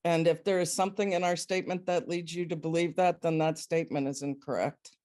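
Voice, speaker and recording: A woman speaking in an online board meeting, measured but stumbling at the start of her points. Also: lowish voice, steady tone, warm tone. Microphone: video call.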